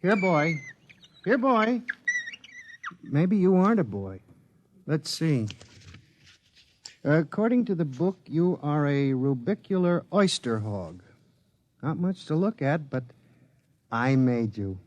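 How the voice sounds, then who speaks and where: An actor playing a meek, lovestruck young lawyer in a 1950s radio comedy drama, hesitant and sheepish. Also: male voice, fairly high pitch, sing-song, slow pace, long pauses. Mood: proud, coaxing. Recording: muffled 1950s radio recording.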